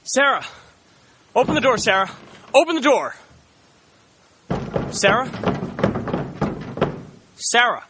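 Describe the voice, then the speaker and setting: A man's voice: very high voice, big pitch swings, takes it slowly, long pauses, heavily acted. A man playing an earnest, easily rattled teenage boy in an audio drama, speaking in a casual, slangy way. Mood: panicked. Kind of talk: audio drama acting and first-person recounting. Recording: faint background noise.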